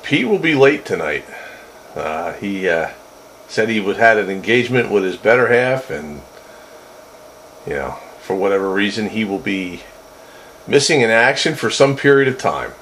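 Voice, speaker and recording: A man with a deep voice talks over a two-way radio link with faint static. His delivery is casual and wry, like a hobby operator joking around at a desk mic. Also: animated, moderate pace, long pauses, a bit bright, low-quality recording. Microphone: desk microphone.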